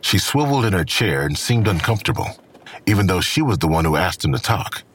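A man with a deep, husky voice narrating scripted fiction for an audio drama. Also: very animated, brisk, a bit bright.

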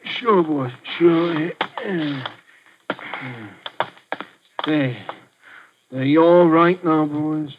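An actor playing a slow-witted, punch-drunk former boxer who stammers and talks like a child, in a 1940s radio drama. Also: male voice, fairly high pitch, background noise. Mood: childlike. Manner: stammering, simple, childlike speech. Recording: muffled old radio recording.

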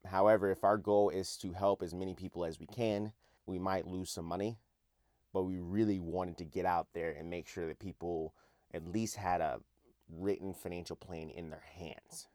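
Raspy low-voiced male podcast host. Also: fairly even intonation, normal speed, a little mellow, dry recording, clean studio sound. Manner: earnest, conversational, asks listeners direct questions. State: hoarse after a night out. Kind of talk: solo podcast monologue.

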